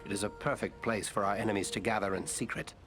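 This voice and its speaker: An actor playing a grave, learned lore-keeper in a fantasy video game, solemn and formal in measured phrases. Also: male voice, on the low side, fairly bright tone, lightly acted. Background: music.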